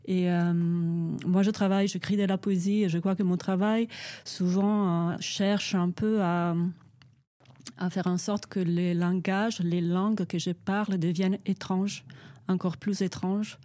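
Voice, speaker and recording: A woman's voice: lowish voice, little pitch movement, measured pace, mellow. A woman, a poet and panel guest, speaking French in a reflective, hesitant way. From Italy. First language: Italian. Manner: reflective, thoughtful, with frequent hesitations. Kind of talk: panel discussion.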